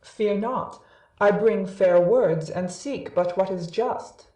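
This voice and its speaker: A man's voice: high-pitched, dark tone. A man playing a proud god of light who pleads for mercy in archaic, declamatory verse drama. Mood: earnest. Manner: lofty, measured, archaic. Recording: echoey room, distant from mic.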